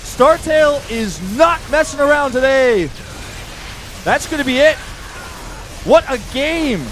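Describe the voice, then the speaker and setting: High-voiced excitable male esports caster. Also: slow, a little hiss or hum. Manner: excitable, rises sharply during big fights. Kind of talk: play-by-play commentary. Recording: commentary over loud game music.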